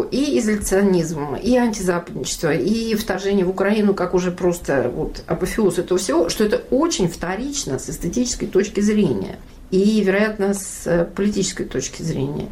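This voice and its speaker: A woman's voice: medium pitch, a little mellow. Intellectual female interviewer, faint noise behind. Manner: measured, intellectual, wordy. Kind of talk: analytical interview questioning.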